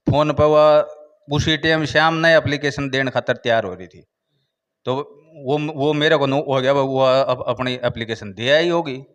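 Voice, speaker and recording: A man with a Haryanvi accent, a village head giving an informal interview account, haltingly, with repeated filler phrases. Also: mid-range voice, clear, resonant tone, dull audio.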